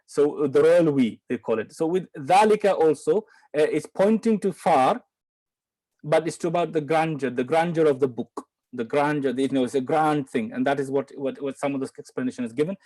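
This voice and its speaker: A man from Bangladesh answering questions in an online Quran lesson, patient and explaining slowly with repeats and apologies. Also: a little high, warm tone, low-quality recording. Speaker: Quran teacher.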